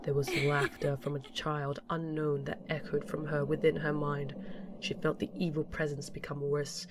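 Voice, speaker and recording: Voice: medium pitch, flat delivery, moderate pace, noisy background. A narrator reading a scripted story for a fan audio drama.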